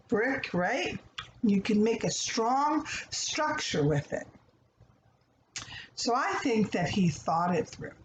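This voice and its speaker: A woman giving a conversational children's story lesson in a didactic way, putting questions to listeners and answering them herself. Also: mid-range voice. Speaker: storybook read-aloud host and teacher. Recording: echoey room with faint background noise.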